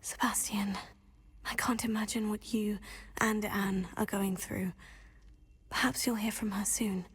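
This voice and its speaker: A woman voicing a gentle, concerned young student in a video game, confiding in a hushed, breathy voice. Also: medium pitch, level tone, measured pace. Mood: hushed, grave. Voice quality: breathy, whispery. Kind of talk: video game cutscene dialogue. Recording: long reverberant hall.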